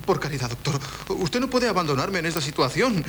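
A man's voice: on the high side, big pitch swings, fairly quick, a bit bright. A man playing a flustered, stammering husband. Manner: hesitant, flustered, many "eh"s. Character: flustered young husband, nervous and pleading. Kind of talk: 1970s Spanish radio drama acting. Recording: old radio broadcast with faint hiss.

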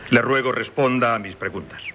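An actor with a Castilian Spanish accent playing an insistent, formally courteous examining magistrate in 1970s radio theatre. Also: male voice, mid-range voice, fairly quick, sharp tone, slight background noise, lightly acted. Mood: stern, official. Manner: formal, probing, insinuating.

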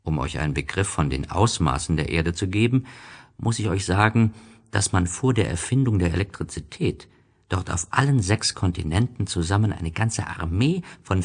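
A man narrating a storybook from a script in an expressive, deliberate storytelling manner. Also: sits low, breathy edge.

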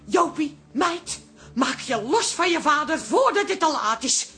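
Shrill, wailing, dramatic aggrieved wife. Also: female voice, lively intonation, unhurried, sharp tone, heavily acted. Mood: exasperated. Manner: wailing, exasperated, folksy. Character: aggrieved, dramatic wife fed up with her meddling father. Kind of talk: radio comedy-drama acting.

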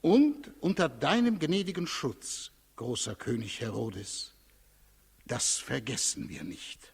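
A croaky, obsequious high priest. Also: male voice, animated, exaggerated voice. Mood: deferential. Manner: deferential, solemn, ceremonious, halting. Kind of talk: 1960s German radio play acting. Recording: 1960s radio recording, reverberant hall.

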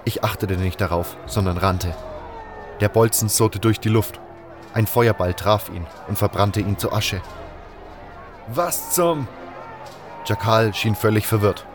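A man's voice: lowish voice, steady tone, moderate pace, music in the background. A man reading scripted first-person narration for a fantasy fan audio drama.

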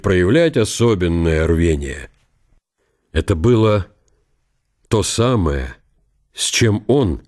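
Guy narrating a radio play, low dark mellow voice, really expressive intonation. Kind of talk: dramatised literary narration.

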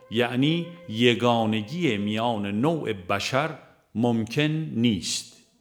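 A man reading a religious audiobook in a measured, formal, devotional way. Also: medium pitch. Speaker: audiobook narrator. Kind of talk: scripted audiobook narration of a religious text. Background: music.

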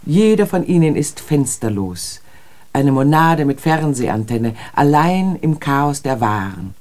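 An actress playing a disillusioned, educated woman in a literary radio drama, speaking in a measured, poetic and pensive way. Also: extremely low pitch, very animated, darkish tone, exaggerated voice. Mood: bitter, pensive.

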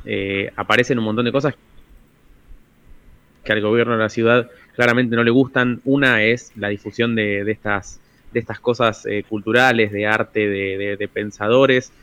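A man's voice: on the low side, fairly bright tone, no room sound. Dry, slightly dull-sounding Buenos Aires male host. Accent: Buenos Aires Argentine Spanish. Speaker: radio show host. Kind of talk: conversational radio interviewing with comment.

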